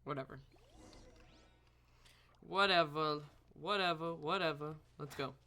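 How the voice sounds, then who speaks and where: A woman's voice: low-pitched, unhurried, pushed and bright. A woman giving casual live commentary as she streams a game, sweary and sardonic. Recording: faint game music behind.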